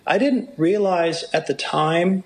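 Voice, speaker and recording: A middle-aged man with a low, fairly steady voice tells stories in an unhurried interview. His pitch sits a little above most men's, and he sometimes lifts it when he quotes someone else. He keeps an easy storytelling pace. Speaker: motocross broadcaster. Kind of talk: reflective interview talk.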